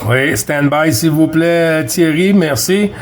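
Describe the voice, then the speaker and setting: A man with a Quebec French accent chatting casually as a CB radio operator, halting and hesitant, heard through a radio transmission. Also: a little high. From Quebec, Canada. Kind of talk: casual radio contact chat. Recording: noisy CB radio reception, loud static.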